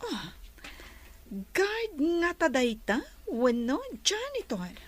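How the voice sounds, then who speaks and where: An actress playing a curious, decisive young woman in a radio drama, speaking slowly and drawing out her questions. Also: sits high, sing-song, full character voice.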